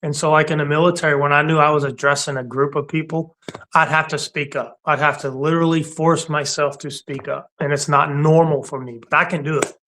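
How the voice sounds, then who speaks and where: A man's voice: a little high, little pitch movement, brisk, pushed and bright. Blunt, emphatic veteran man. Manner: blunt, emphatic, repeats points; laughs often between points. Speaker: co-host; Army warrant officer veteran. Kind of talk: casual conversation.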